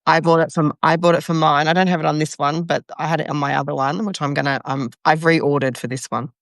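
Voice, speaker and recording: A woman co-hosting a casual chat, relaxed and agreeable, laughing easily. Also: low voice, fast talker, no echo. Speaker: podcast co-host.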